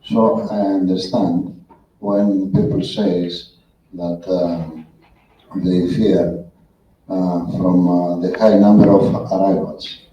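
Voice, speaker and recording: A man from Greece with a Greek accent, asking a halting question from the audience at a conference, recorded from far off in a hall. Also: deep voice, little pitch movement, mellow, slight background noise. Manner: halting, many fillers and restarts. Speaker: audience member. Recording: roomy hall, far from the mic, dull.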